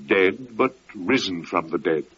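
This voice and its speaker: An actor playing a gentle, pious old gatekeeper with solemn, meek archaic speech in an old-time radio drama. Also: male voice, low-pitched, lively intonation, a little slow, slightly rough, heavily acted. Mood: solemn devotion. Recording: muffled, noisy vintage radio recording.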